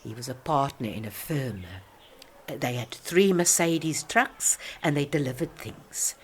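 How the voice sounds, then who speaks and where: An actress playing an older South African woman, a proud alcoholic who rambles haltingly and defensively through a radio-play monologue of reminiscence. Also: very deep voice, expressive, slight background noise. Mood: wistful reminiscing.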